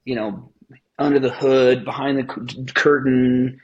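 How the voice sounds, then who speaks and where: A man, a founder guesting on a podcast, talking in an animated, rambling, casual way and swearing now and then. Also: medium pitch, unhurried, lo-fi sound.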